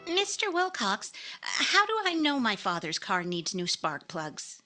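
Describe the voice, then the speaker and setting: An actress playing an eager, naive, perky daughter in a 1950s radio commercial. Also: female voice, medium pitch, very animated, unhurried, pushed and bright, soft background music. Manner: earnest, perky.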